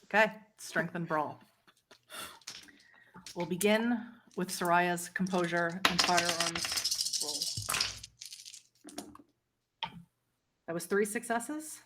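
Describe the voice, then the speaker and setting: A woman with a slightly low voice leads a tabletop roleplaying session as game master. She describes scenes to her players and explains the rules as she goes. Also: extremely slow, pauses often, background noise.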